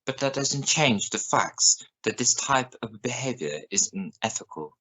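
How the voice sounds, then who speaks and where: A man plays a news reporter of the future in a radio play. He has a mid-range voice and a formal, measured delivery. His manner is serious and probing, as in a scripted evening broadcast. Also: medium pitch, animated, pushed and bright, husky, exaggerated voice, rough recording.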